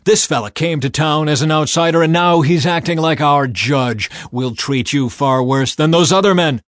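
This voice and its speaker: A man voicing an angry mob of townsmen with a shouting, threatening manner in a scripture audiobook. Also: on the high side, moderate pace, dry recording, clean studio sound, voice pushed up, lightly acted. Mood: angry, menacing.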